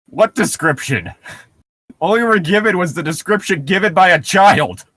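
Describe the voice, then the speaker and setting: A man's voice: high-pitched, lively intonation, normal speed, fairly bright tone, no echo. A sarcastic young man joking and reading casually as co-host of a comedy story reading.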